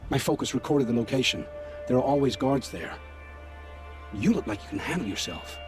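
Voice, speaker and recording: Game role: guilt-ridden male scout male actor, mid-pitched, haunted dramatic delivery over music. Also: lively intonation, brisk, lightly acted. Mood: pleading, resigned. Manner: grave, hushed, haunted storytelling with poetic phrasing. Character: guilt-ridden male scavenger scout, forced informant, begging for family. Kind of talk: dramatic video game acting. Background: background music.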